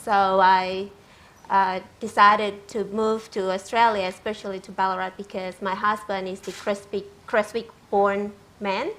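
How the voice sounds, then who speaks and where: A woman from Indonesia, telling her story as a panelist, hesitant and pausing often. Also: mid-range voice, flat delivery, a bit bright. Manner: hesitant, with frequent ums and non-native grammar.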